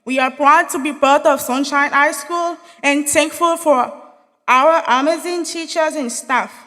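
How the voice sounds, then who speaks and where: A woman's voice: high-pitched, a little slow, sharp tone. A young woman from Haiti, giving an earnest, spirited graduation speech as a student speaker in a large hall. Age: young adult.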